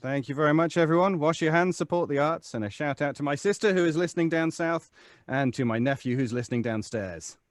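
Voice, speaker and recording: A man's voice: a little high, a little fast, dry recording, studio quality. A British man chatting casually as a guest on a livestream. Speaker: voice actor guest. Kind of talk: casual chat. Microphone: close studio mic on a video call.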